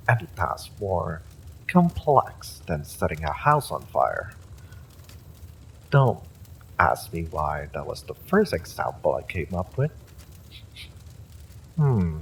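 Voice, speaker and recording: A man's voice: deep voice, vocal fry, a little hiss or hum, heavily acted, rough recording. A man playing an evil sorcerer in an audio roleplay, smug and silkily menacing, with a mock-polite, gloating delivery. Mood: theatrical, amused. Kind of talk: dramatic villain monologue, audio roleplay.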